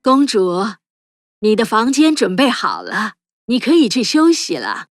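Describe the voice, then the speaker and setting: A woman voicing a kind, motherly queen in a children's cartoon, speaking calmly and warmly. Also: on the high side, measured pace, soft background music. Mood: polite. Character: gentle caring queen, middle-aged woman. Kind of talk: cartoon dubbing.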